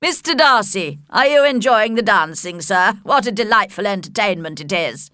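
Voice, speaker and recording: A woman voicing a cheerful, courtly older gentleman host in a storybook, effusive and coaxing. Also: medium pitch, lively intonation, moderate pace, dry recording, clean studio sound.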